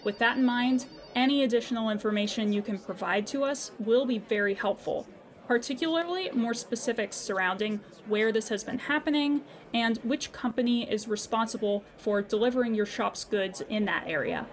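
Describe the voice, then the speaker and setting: Actress as female call agent, slightly high voice, formal, patient, unflappable corporate politeness. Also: moderate pace, natural voice. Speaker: customer service agent. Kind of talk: audio drama phone dialogue.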